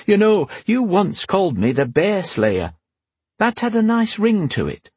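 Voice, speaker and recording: Storybook warrior queen, played by a male narrator: high, husky, teasing, proud, quick to command. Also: huge pitch swings, darkish tone, no room sound, heavily acted. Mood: playful, teasing. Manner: playful, teasing, regal when commanding.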